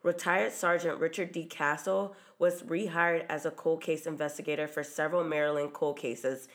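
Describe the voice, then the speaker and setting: A woman's voice: sits low, steady tone, moderate pace. A woman hosting a true crime podcast, narrating in a casual, chatty way with occasional ums, her voice stuffy from a cold. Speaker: podcast host.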